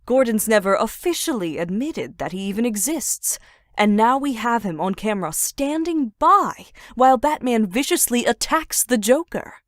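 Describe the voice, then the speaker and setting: A woman's voice: fairly high pitch, expressive, normal speed, dry recording, studio quality, natural voice. A woman voicing a composed, probing television news commentator in a comic fan dub. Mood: critical.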